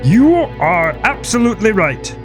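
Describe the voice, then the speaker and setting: A man's voice: high voice, very animated, a little slow, over music, voice pushed up. A man voicing a cheerful, showy carnival guide with a theatrical announcer's manner in a horror audio story. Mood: gleeful.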